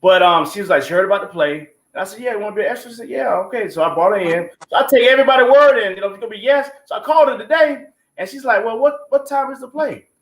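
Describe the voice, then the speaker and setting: A man chatting casually as a play producer, telling stories in an animated way and acting out bits of conversation. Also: high voice, very fast, hardly any pauses. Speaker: stage play producer and guest. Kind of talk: casual conversational storytelling at a group interview. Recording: roomy, echoey room sound.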